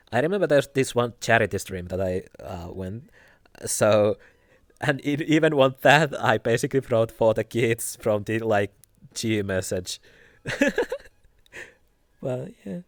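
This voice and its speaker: A young man with a Finnish accent chatting casually on a live stream, soft-spoken and giggly, hesitating often. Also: mid-range voice, lively intonation, slow pace, no echo, studio quality. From Finland.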